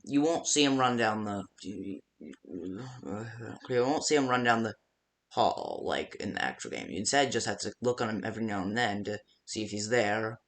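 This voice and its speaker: A man casually improvising phone-call style guide messages for a fan-made game, rambling and haltingly restarting his sentences. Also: on the low side, fairly bright tone. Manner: rambling, halting, self-interrupting with stammered restarts.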